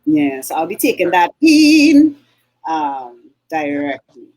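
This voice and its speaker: A woman's voice: low voice, very animated, slow, darkish tone, rough recording. A middle-aged woman with a Trinidadian accent, co-hosting a casual talk show and chatting with lots of fillers. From Trinidad and Tobago. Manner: chatty, many fillers and throat clearing. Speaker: co-host. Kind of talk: casual chat.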